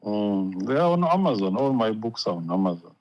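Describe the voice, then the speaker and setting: A man's voice: on the low side, very animated, brisk, a little mellow, dull audio. A man from Uganda, an exiled writer, speaking emphatically as an interview guest and repeating words for force.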